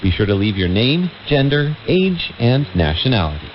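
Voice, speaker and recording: A man's voice: mid-range voice, very animated, moderate pace. A man announcing a scripted station promo on shortwave radio. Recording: dull shortwave radio broadcast. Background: music.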